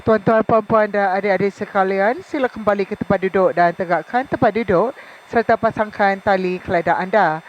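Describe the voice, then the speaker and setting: A woman's voice: mid-range voice, muffled recording. Malaysian flight attendant woman, faint cabin noise. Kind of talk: scripted bilingual cabin announcement.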